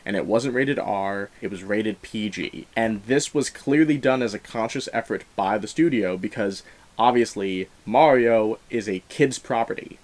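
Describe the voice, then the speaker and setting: A man's voice: mid-range voice, a little mellow. A man giving an opinionated movie review as host, talking in a casual, explanatory way with emphatic stresses.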